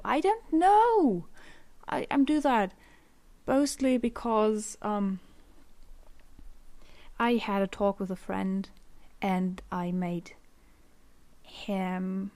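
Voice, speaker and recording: A young woman from Germany talks in German-accented English, hosting her own unscripted podcast. She speaks at an unhurried pace, drifting off on tangents and poking fun at herself. She is recorded at home on a condenser microphone. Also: a little high, darkish tone. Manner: rambling, self-deprecating, laughs often. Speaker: podcast host. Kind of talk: unscripted solo podcast chat. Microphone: USB condenser microphone.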